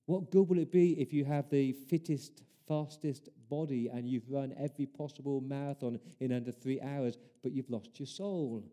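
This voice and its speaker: A man's voice: medium pitch, normal speed, few pauses, warm tone. A man preaching a sermon as pastor, earnest, building his points through repeated rhetorical questions.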